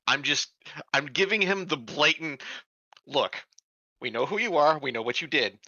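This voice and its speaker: Man, tabletop player, casual roleplay talk, very forceful bright tone, dry, slightly dull recording. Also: fairly high pitch, animated, normal speed, dry recording.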